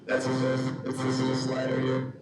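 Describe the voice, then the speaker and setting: A man's voice: medium pitch, monotone, normal speed, recorded from a distance. A man giving a casual, hands-on demonstration as a presenter. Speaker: presenter demonstrating own music project. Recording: very echoey, off-mic, music behind.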